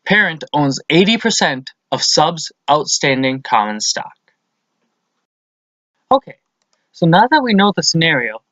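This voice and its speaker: A man's voice: fairly high pitch, a bit bright, no echo, lo-fi sound. A man presents a lesson as scripted instructional narration, explaining things methodically step by step.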